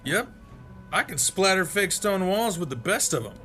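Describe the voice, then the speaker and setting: A teasing young male crew member in a radio drama. His voice is fairly high with a sharp, bright edge. He jokes in natural conversation while soft music plays. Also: big pitch swings, moderate pace, heavily acted. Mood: joking, teasing.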